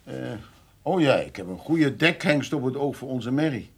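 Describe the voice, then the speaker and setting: An awkward horse farmer and husband in a radio drama. His husky voice moves up and down in pitch. He sounds evasive and stumbles hesitantly over his words. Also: lively intonation, normal speed. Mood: sheepish, evasive. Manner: hesitant, stammering, casual-sounding. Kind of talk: radio play acting.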